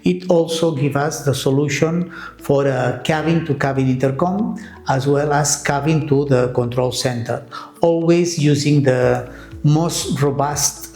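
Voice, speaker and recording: A man's voice: fairly high pitch, darkish tone. A man who is a non-native English speaker gives a semi-scripted product presentation, explaining step by step in a hesitant way with frequent check-in tags. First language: not English. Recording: echoey room with music underneath. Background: music.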